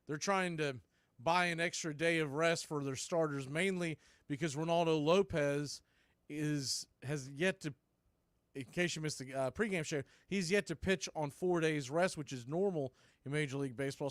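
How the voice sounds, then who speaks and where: Male play-by-play host, fairly high voice, smooth and dry, conversational fan commentary. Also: a bit bright, dry recording.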